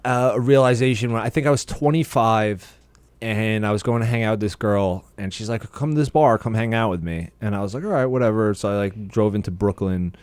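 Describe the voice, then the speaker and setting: A man's voice: on the low side, a little fast, darkish tone, clear, resonant tone, no echo. American guy bantering, close dry sound. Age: 30s. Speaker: podcast co-host. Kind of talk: casual, profane banter.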